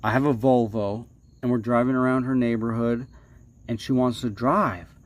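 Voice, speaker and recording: A man telling stories casually as a livestream host, halting and repeating himself, playfully dramatic with stretched words and hushed asides. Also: medium pitch, steady tone. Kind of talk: casual rambling spoken storytelling.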